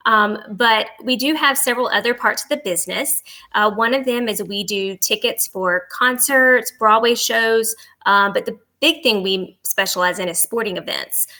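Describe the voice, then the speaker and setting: A woman with a bright, forward voice of middling pitch answers as an interview guest. She is informal and agreeable, explaining and promoting travel services in a conversational way. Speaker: podcast guest, travel agency executive.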